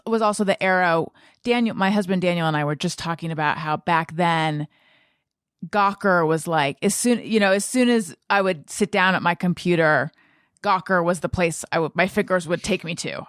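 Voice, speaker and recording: A middle-aged woman hosting a casual podcast interview, chatty and self-deprecating, often thinking out loud as she goes. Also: fairly low pitch, no room sound, studio quality.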